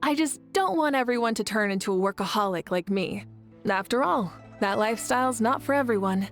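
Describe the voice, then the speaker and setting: Video game warrior leader: confident, composed idealist, fairly high female voice, measured and articulate, music underneath. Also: animated. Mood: composed, earnest. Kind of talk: video game character dialogue.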